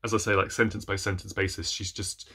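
A man's voice: on the low side, little pitch movement, a little fast, sharp tone, breathy edge. A young adult man chatting casually to camera as a book reviewer, in a hesitant, self-deprecating way. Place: small room at home, indoors.

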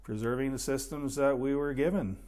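A man's voice: medium pitch, fairly quick, darkish tone. A middle-aged Canadian man, a home energy advisor, explaining repairs in a house basement in a practical, unpolished way with some hesitations. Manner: practical, hesitant with fillers, pointing things out. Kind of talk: on-site explanation.

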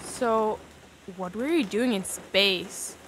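A woman acting a suspicious, edgy armed survivor in an audio drama, asking halting questions. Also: medium pitch, noisy background, lightly acted. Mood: bewildered, suspicious.

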